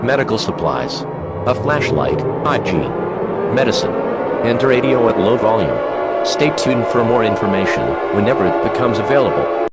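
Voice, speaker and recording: A man's voice: mid-range voice, very animated, normal speed, over loud music. A man reading a scripted emergency broadcast announcement in a formal, synthetic-sounding manner.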